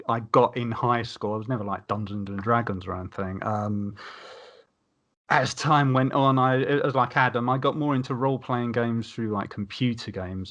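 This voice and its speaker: A man's voice: medium pitch, fairly bright tone, highs cut off. A man speaking as a podcast panelist, telling personal anecdotes casually in a rambling, wordy way.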